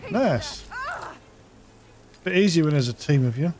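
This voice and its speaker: A man's voice: fairly high pitch, lively intonation, unhurried. A man giving casual commentary as he plays through a video game quest. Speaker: gamer playing through a quest.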